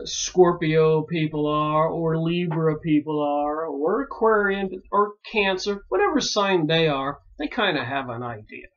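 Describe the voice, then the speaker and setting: A man from Pennsylvania musing aloud in a rambling solo monologue, pausing often and filling gaps with "uh". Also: on the high side. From Pennsylvania, United States. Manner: slow and musing, many pauses and fillers. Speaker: video commentator. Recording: dull lo-fi recording.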